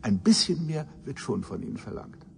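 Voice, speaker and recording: An actor playing a cynical, bitter old professor in a science fiction radio drama, pompous and sardonic in elaborate phrasing. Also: male voice, mid-range voice, very animated, normal speed, creaky, a little hiss or hum. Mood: smug, scheming.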